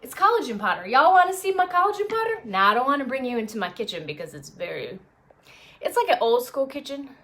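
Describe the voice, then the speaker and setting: A woman presenting traffic and news live from her apartment dining room on a phone, chatty off air and brisk on air, a little sniffly. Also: high-pitched, very animated, fairly bright tone. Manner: chatty and self-deprecating off air, brisk and upbeat on air. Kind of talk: casual live stream chat and on-air traffic report.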